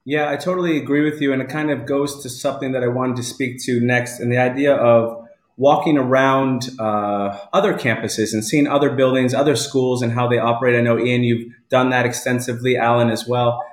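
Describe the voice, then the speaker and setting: A man's voice: medium pitch, steady tone, fairly quick. A man hosting a casual live online talk show from home, chatting with guests. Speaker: live chat show host. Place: indoors at home. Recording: roomy home echo.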